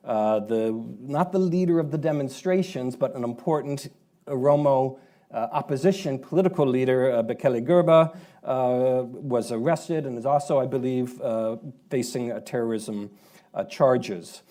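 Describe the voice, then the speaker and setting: A man on a discussion panel, giving a measured briefing that is full of pauses and "uh" fillers. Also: mid-range voice, dark tone. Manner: measured, halting with many fillers. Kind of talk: panel talk.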